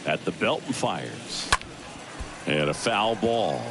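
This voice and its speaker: A man's voice: low-pitched, sing-song, slow, gravelly, very noisy, exaggerated voice. A man playing a baseball play-by-play announcer, calling the action in a video game's sports commentary. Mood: steady, attentive.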